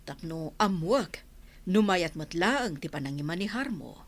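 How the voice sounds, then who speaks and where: A woman's voice: fairly low pitch, lively intonation, measured pace. An actress playing a persuasive, doting middle-aged mother in a radio drama. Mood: gently instructing. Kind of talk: Ilocano radio drama acting.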